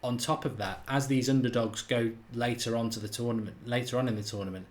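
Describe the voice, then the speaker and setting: A man's voice: mid-range voice, fairly even intonation, a little fast. A man with a slight Irish accent hosting a live football watchalong, chatting and thinking aloud while he reads out viewer comments. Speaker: host of a live match watchalong.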